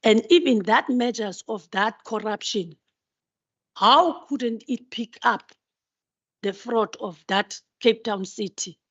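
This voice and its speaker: A woman committee member questions officials slowly, stumbling over repeated words. The sound is dry and slightly dull. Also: fairly high pitch, slightly rough, no echo. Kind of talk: formal questioning at a hearing.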